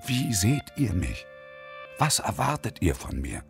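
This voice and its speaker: A man's voice: lowish voice, huge pitch swings, unhurried, creaky, music in the background, full character voice. An actor playing a bitter, sardonic unemployed engineer in his early fifties in a radio drama, wordy, pedantic and ironic. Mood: brooding, reflective. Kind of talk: radio drama acting with inner monologue.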